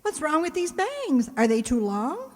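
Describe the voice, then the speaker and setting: A woman plays a sardonic lady English teacher tossing off arch quips in a radio comedy. Her voice is high and animated, with a hall-like reverb around it. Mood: brisk, indignant. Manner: dry, arch, quick with quips. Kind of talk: old-time radio comedy acting.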